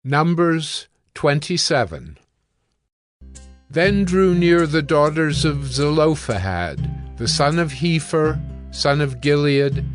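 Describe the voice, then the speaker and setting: A man narrating scripture from a script in a solemn, formal and measured way. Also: a little high.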